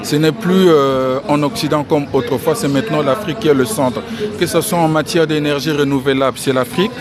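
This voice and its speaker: A man from the Republic of the Congo giving an outdoor press interview, speaking in a measured way and repeating key phrases. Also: on the high side, fairly even intonation. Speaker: interviewee, financial engineering expert. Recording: crowd noise behind.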